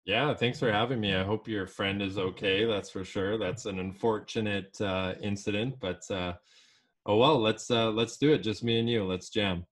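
A man in his thirties, a life coach, talking as a podcast guest in a relaxed, rambling way with fillers. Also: fairly low pitch, normal speed. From Canada. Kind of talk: casual interview talk.

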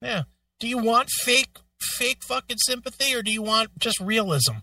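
A man's voice: very high-pitched, normal speed, sharp tone, dry recording, recorded from a distance. A man co-hosting a casual talk show, bantering in a blunt, sarcastic, profane way. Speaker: show co-host.

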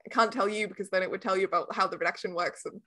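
A woman with a very bright, forward voice at a middle pitch. She talks informally as a guest in a casual interview, leaning earnestly and emphatically on her points. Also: fairly even intonation, rapid-fire. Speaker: interview guest, audio drama director and producer.

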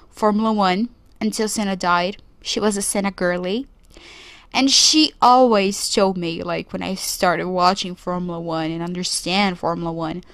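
A young woman from Brazil speaks English, hosting a podcast alone in an earnest, reflective way. She talks at an unhurried pace with pauses as she searches for words. The recording is dry and close, with no room sound. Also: medium pitch, no room sound. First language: Portuguese. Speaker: podcast co-host. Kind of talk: solo podcast commentary.

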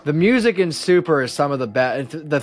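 A man's voice: on the high side, lively intonation, fairly quick, faint music behind. A man in his thirties chatting casually as a podcast host, with earnest, opinionated enthusiasm. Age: 30s. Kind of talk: casual fan chat.

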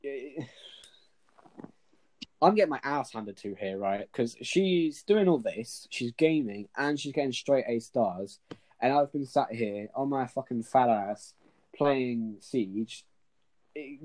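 A man's voice: medium pitch, slow, darkish tone, low-quality recording. A young man interviewing casually, rambling, repeating himself and swearing. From England. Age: young adult. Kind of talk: casual chat.

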